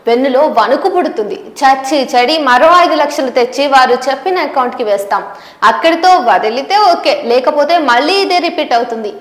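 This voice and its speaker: High-pitched woman presenter, roomy sound. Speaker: journalist presenting a news explainer. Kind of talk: scripted explanatory narration to viewers. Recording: roomy, echoing voice recording.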